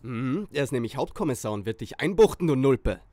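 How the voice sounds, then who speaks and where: A man's voice: medium pitch, lively intonation, fairly quick, dark tone, dry recording, lightly acted. A man playing a bossy, aggressive, smug teenage leader in a parody audio play.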